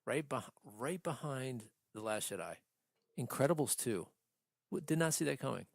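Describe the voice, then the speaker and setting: A man's voice: medium pitch, expressive, moderate pace, creaky, no echo, studio quality. A man co-hosting a geek-culture podcast, bantering in a blunt, slangy way with frequent swearing. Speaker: podcast co-host.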